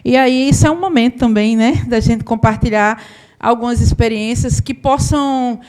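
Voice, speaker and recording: A woman's voice: fairly high pitch, normal speed, darkish tone. Woman telling her story. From Paraíba, Brazil. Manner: conversational, often asks the audience "né?". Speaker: accountant and business owner giving a talk. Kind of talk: live storytelling talk to an audience.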